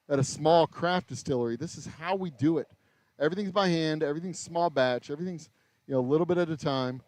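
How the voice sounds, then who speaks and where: Tired, slightly raspy American man rambling. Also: a little high, no echo. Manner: rambling, self-interrupting, mild swearing. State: voice getting raspy from long talking. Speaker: distillery owner and livestream host. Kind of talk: casual livestream chat while working. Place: small craft distillery shop.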